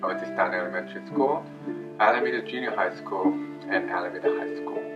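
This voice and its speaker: A man's voice: low voice, fast talker. A man introducing himself in a matter-of-fact way in a documentary interview. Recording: muffled distant mic under loud music.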